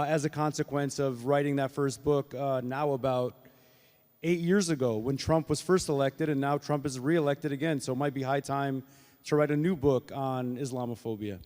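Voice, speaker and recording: Higher-voiced man in an echoey hall. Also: darkish tone. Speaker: panelist, author. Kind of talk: conversational panel talk. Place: event hall at a live panel. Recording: echoey hall with long reverb.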